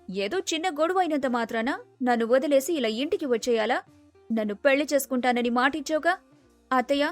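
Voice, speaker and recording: A woman's voice: high-pitched, no room sound, natural voice. A woman playing an aggrieved, insistent young jilted sweetheart in a family drama story.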